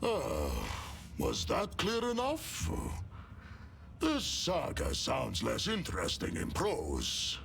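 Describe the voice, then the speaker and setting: A gravelly, snarling male space warrior from a video game. He is proud, wild and mocking, speaking slowly and boastfully through a modulated voice, with huge swings in pitch. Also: huge pitch swings, fairly bright tone, soft background music, exaggerated voice. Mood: wry. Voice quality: deep, gravelly growl. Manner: boastful, deliberate, menacing. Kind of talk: video game character acting.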